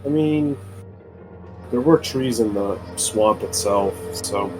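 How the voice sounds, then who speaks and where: A man's voice: on the low side, takes it slowly, darkish tone, faint music behind. A man chatting casually as a player in a tabletop game. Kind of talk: casual chat.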